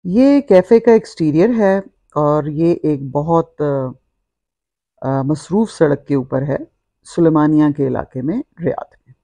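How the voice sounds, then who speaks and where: A woman's voice: low voice, slow, dark tone, no room sound. A middle-aged woman, narrating a walk-through vlog in a descriptive, explanatory way. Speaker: vlogger.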